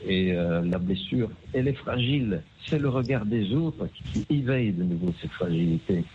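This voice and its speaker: An older man speaking over a tinny phone line, with faint hiss behind him. His voice sits very low, and he talks haltingly, filling pauses and repeating words as he goes. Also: animated. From Belgium. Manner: hesitant, many fillers and repeated words. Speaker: interviewee. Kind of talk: phone interview. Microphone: telephone.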